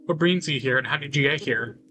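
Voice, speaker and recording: A man's voice: mid-range voice, a little fast, music in the background, low-quality recording. A man reading visual novel dialogue aloud in character, as a gaming video host. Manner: acts out characters' lines while reading.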